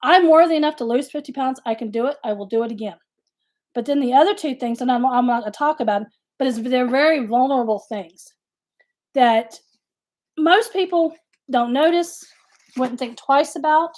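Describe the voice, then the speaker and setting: High-voiced woman in her late forties. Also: normal speed, darkish tone. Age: late 40s. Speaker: co-host of a live chat. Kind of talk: casual live-stream chat.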